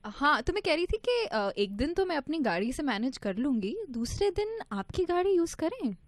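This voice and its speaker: Sweet, hesitant woman with a slightly high voice. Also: very animated, moderate pace, no echo. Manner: sweet, hesitant, mixes English into Hindi. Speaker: prank caller. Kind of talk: flirty prank phone call.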